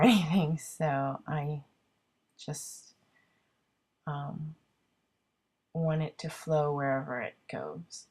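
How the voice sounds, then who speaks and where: A congested woman with a cold narrating her card-making casually, thinking aloud and poking fun at her own mess. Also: low-pitched. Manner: chatty, self-deprecating, thinking aloud with many ums. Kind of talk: casual craft video narration.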